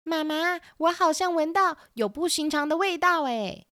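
A woman's voice: very high voice, moderate pace, a bit bright, no echo, clean studio sound, voice pushed up. A woman voicing a childlike little lamb in a children's audio story. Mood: curious. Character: small young lamb talking to its mother.

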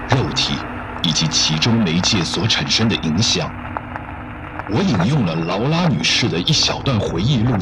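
An actor playing an earnest, self-important doctor of science, speaking formally and declaiming as in a radio drama. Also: male voice, deep voice, low-quality recording.

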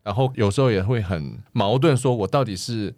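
A man's voice: fairly low pitch, brisk, darkish tone. A man with a Taiwanese Mandarin accent, talking casually as a guest in an interview. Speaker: celebrity guest.

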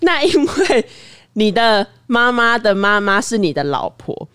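A woman's voice: a little high, very animated, a little slow, a bit bright, clear, resonant tone, dry recording, studio quality. A woman with a Taiwanese Mandarin accent chatting casually as a podcast co-host.

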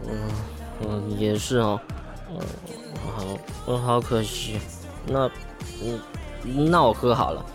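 A young man deep in drink for an audio drama. His speech is slow and slurred, swinging between crude and childishly needy. Light music plays behind him. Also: fairly even intonation. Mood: tipsy disappointment. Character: drunken, loud, foul-mouthed young male writer, childishly needy.

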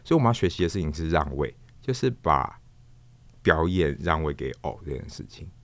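A man with a Taiwanese accent answering interview questions thoughtfully and haltingly, repeating himself as he searches for words. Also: a bass voice, very animated, dry recording. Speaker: guest, theatre performer, puppeteer and director. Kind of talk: reflective interview conversation.

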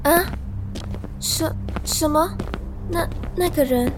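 An actress playing an anxious, guilt-ridden young student in a radio drama, hesitant and murmuring to herself. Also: female voice, high voice, slow pace, background noise. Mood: confused, hesitant.

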